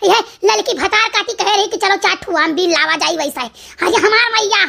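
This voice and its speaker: A performer voicing a fretful, panicky cartoon village woman with a squeaky high voice and an Awadhi accent, wailing and pleading in comic exclamations. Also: normal speed, gravelly, sharp tone, heavily acted. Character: panicky squeaky village women fleeing a tiger, fretful and squabbling. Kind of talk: animated comedy dialogue in cartoon skit.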